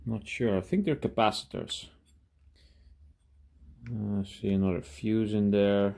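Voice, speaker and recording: A man talking casually through a hands-on demonstration in a workshop, halting and thinking aloud as he goes. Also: lowish voice, fairly even intonation, creaky, a little mellow. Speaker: hobby scrapper presenting his own video. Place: indoor cluttered workshop.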